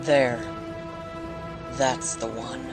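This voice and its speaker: A woman's voice: extremely low pitch, level tone, very slow, over loud music, exaggerated voice. A woman playing an anguished, angry soldier in an audio drama, impassioned, pleading and accusing. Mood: angry demand. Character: anguished, angry female soldier haunted by betrayal.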